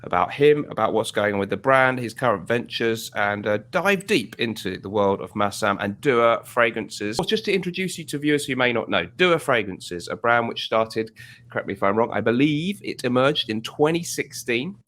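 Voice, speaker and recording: A man's voice: mid-range voice, expressive, normal speed, a bit bright. A man based in the UK hosting a fragrance interview over a video call, upbeat and chatty with frequent restarts. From United Kingdom. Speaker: host.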